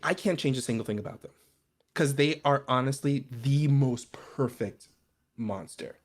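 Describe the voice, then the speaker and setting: An excitable, emphatic speaker in an informal, animated discussion about tabletop gaming. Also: mid-range voice, moderate pace. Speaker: podcast panelist.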